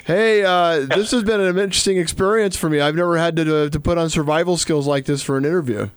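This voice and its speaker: A man's voice: a little high, fast, clean tone, dry recording. A man hosting a radio interview show, upbeat and repeating his questions as he steers the talk. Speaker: radio host.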